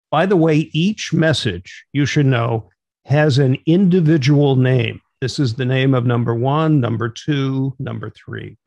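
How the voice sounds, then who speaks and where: A man's voice: medium pitch, a little mellow, no echo. A middle-aged man hosting an instructional radio net in an orderly, procedural way over a video call. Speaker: net control operator and host. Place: small home room, on a video call.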